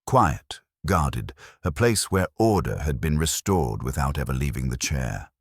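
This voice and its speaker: A man with an extremely low voice narrates a wry detective tale. His pitch moves around in a lively way while the delivery stays measured and literary. The sound is dry and close. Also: breathy edge, no echo. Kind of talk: scripted audiobook narration, wry first-person detective story.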